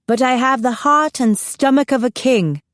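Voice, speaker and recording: A woman's voice: sits high, moderate pace, no echo, studio quality, voice pushed up, natural voice. A woman voicing a teenage girl who plays a solemn queen in a British accent, with grand, formal, theatrical delivery in an audiobook. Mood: resolute. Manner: grand, declamatory.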